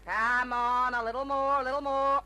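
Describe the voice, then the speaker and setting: An actress playing a tough, bullying Sydney woman with an Australian accent in an old radio drama, loud, goading and sarcastic. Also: high voice, little pitch movement, moderate pace, soft background music. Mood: scornful, triumphant. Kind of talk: old-time radio detective drama acting.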